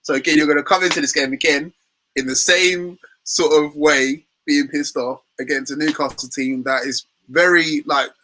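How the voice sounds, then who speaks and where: Bright-voiced London man, easygoing guest. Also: fairly high pitch, fairly even intonation, moderate pace, a little hiss or hum, rough recording. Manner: easygoing, self-mocking, hesitant with fillers. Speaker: guest, football fan channel host. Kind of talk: casual chat.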